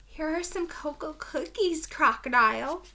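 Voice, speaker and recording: Woman playing a high-pitched, eager storybook cook. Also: measured pace, sharp tone, exaggerated voice. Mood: eager to please. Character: anxious cooks serving and fleeing a crocodile.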